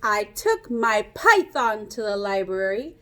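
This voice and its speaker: A woman reading a picture book aloud like a teacher, pausing to explain and ask young children questions. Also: medium pitch, sing-song, bright, forceful tone. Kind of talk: interactive children's read-aloud.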